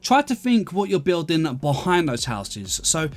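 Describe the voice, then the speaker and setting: A man's voice: on the high side, wildly swooping pitch, measured pace. A man from London with an English accent gives chatty, explanatory casual commentary as the host of a gaming video, answering viewer questions. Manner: chatty, explanatory, addresses listeners as guys.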